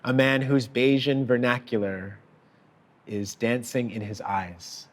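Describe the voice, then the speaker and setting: Slow, measured man reading a speech. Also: mid-range voice, flat delivery. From child of parents from Sierra Leone. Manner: measured, deliberate, formal phrasing. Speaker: author giving a tribute. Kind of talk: prepared speech read aloud.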